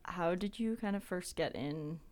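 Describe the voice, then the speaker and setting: A young woman hosting a podcast interview, friendly and quick to laugh, with many fillers. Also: on the low side, a little mellow. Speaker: podcast host. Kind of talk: casual interview chat. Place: small recording studio.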